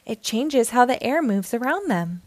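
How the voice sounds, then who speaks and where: A woman's voice: on the high side, very animated, moderate pace, darkish tone, no room sound, voice pushed up. A woman voicing a helpful young girl sidekick who explains things in a storybook. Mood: explaining.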